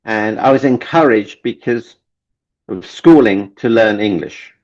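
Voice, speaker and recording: A man's voice: on the low side, measured pace, a little mellow. A man from Kenya, a relationship coach guest, speaking earnestly and repeating key phrases for emphasis. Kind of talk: motivational interview talk. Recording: dull lo-fi sound.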